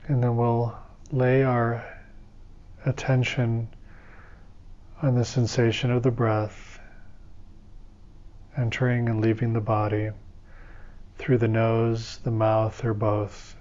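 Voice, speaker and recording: A man guiding a slow, soothing meditation session, giving gentle step-by-step instructions. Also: medium pitch, monotone, highs cut off. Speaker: meditation teacher. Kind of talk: guided meditation.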